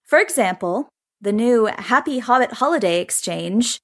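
A woman's voice: fairly high pitch, expressive, moderate pace. A woman listener delivering a prepared recorded comment for a podcast.

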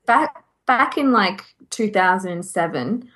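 A woman's voice: mid-range voice, animated, slow, a bit bright. A woman podcast co-host talking casually, restarting and rephrasing her sentences as she goes. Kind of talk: casual group chat.

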